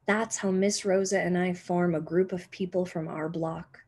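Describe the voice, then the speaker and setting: A young woman, a teacher reading a children's picture book aloud expressively, with deliberate dramatic pauses and emphasis. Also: fairly low pitch, fairly even intonation, darkish tone, husky. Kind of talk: expressive children's storybook narration.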